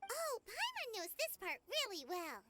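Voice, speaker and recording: A woman voicing a small, excitable, childlike fairy guide in an anime game, expressive and speaking of herself in the third person. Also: very high voice, measured pace, heavy background noise, exaggerated voice. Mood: pondering. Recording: loud game music behind. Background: loud playful game music.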